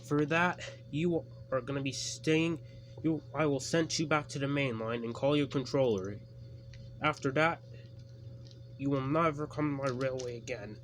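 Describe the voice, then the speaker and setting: A man's voice: medium pitch, normal speed, a little hiss or hum, voice pushed up, lightly acted. A man voicing a stern, pompous male railway boss, scolding in a severe, pompous way.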